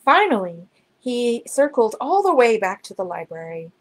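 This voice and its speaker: A woman reading a children's storybook aloud in an expressive, dramatic storytelling manner. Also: fairly high pitch, moderate pace. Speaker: children's book reader. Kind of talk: storybook narration, read aloud.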